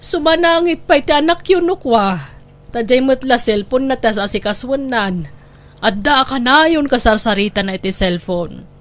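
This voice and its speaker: A woman's voice: on the high side, animated, moderate pace, fairly bright tone. A woman with an Ilocano accent playing a nosy, concerned motherly neighbour in a radio drama, frank and inquisitive. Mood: prying.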